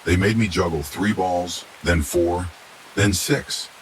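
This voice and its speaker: Low-voiced man narrating a story. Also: slow pace, rough recording. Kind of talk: scripted first-person story narration. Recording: steady hiss behind the voice.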